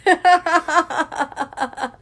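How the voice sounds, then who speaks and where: A woman's voice: very high voice, extremely slow, fairly bright tone. A young woman with a scratchy voice, co-hosting a casual podcast and laughing often. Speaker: podcast co-host. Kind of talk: casual chat. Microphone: leaning close to a phone.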